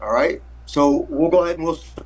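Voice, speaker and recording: Male facilitator, upbeat and casual, voice a touch high. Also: fairly quick, a little hiss or hum, low-quality recording. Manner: upbeat, casual, giving instructions. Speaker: workshop facilitator.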